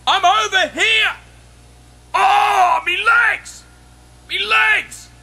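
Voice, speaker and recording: A male actor playing a streetwise, excitable former squatter in a radio play, slangy and urgent, with a husky Australian accent. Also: very high voice, extremely slow, raised voice, heavily acted. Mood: panicked. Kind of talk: radio drama acting.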